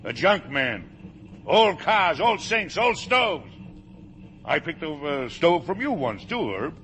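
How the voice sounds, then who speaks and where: A man's voice: fairly high pitch, sing-song, slow pace, exaggerated voice. A man playing a hard-driving, self-made older factory owner in a 1950 radio drama, bitter and emphatic. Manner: forceful, bitter, emphatic repetition. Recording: noisy vintage radio broadcast.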